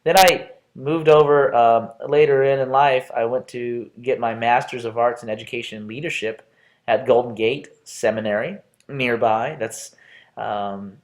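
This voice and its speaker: Middle-aged man, American accent, college professor, informal online lecture, medium pitch, playful, chatty, frequent restarts. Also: steady tone, unhurried. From Texas, United States. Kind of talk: informal self-introduction lecture for an online class.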